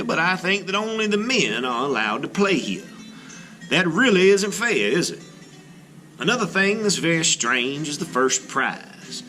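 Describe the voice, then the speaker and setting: A man's voice: high voice, pushed and bright, full character voice. A man reading as a chatty, wide-eyed little toy traveler writing a letter, full of eager childlike wonder.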